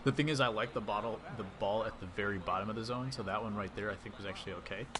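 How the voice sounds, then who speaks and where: Low-voiced man chatting on a game stream. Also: fast, noisy background. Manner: chatty, reads and answers chat while playing. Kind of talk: casual live-stream commentary.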